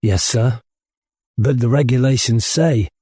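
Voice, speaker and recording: A man's voice: medium pitch, a little slow, mellow, breathy edge, dry recording, clean studio sound, voice pushed up. A man voicing a dutiful but stubborn, indignant young male soldier in an audiobook. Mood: defensive, dutiful. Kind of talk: audiobook character acting.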